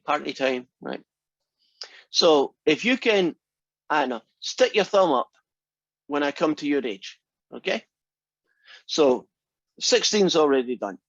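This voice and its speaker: A math teacher in his sixties talks a class through the lesson over a video call, checking in with "right?" as he goes. His voice sits a touch higher than most men's. The call audio is dry and a bit dull, with a lo-fi feel. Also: dry recording. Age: 60s. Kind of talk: online class lecture. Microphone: computer mic on a video call.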